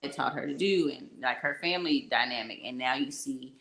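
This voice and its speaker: A woman hosting a casual podcast recap, animated and laughing as she acts out quotes. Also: very deep voice, a little fast, vocal fry, crowd noise. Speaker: podcast host.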